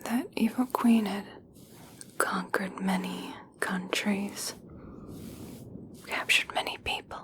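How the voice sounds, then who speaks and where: A woman playing a gentle, caring, curious young elf princess in a whispered, soothing ASMR personal attention roleplay. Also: mid-range voice, fairly even intonation. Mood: gently curious. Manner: soft, slow, soothing whisper.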